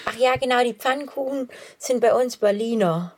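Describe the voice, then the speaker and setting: A woman's voice: on the high side, moderate pace, darkish tone. A woman with a Swabian accent, a guest athlete giving short, easygoing answers in a casual interview. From Swabia, Germany.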